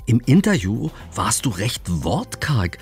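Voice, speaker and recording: A man's voice: lowish voice, sing-song. Upbeat man hosting a radio show. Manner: upbeat, slightly rushed, with many "äh" fillers. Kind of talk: radio presenting and interviewing. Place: radio studio.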